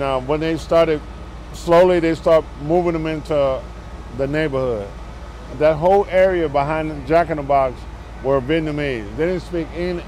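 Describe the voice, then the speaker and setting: A man's voice: on the high side, darkish tone, a little hiss or hum, rough recording. A man recalling his neighborhood's past in an interview, speaking in a rambling, plain-spoken way.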